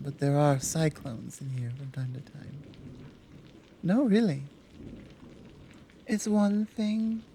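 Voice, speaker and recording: Slow, soft-spoken Amazon woman acted for ASMR. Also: low voice, exaggerated voice. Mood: earnest, firm. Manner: soft, unhurried delivery with deliberate pauses. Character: gentle, self-assured Amazon woman, playful rescuer of a stranger. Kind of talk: intimate ASMR roleplay, one-sided conversation.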